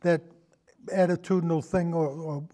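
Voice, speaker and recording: A man's voice: fairly high pitch, fairly even intonation, normal speed, dark tone. An older man in casual, reminiscing conversation, hesitant and prone to wandering off on digressions. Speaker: guest, amateur historian and old time radio podcaster.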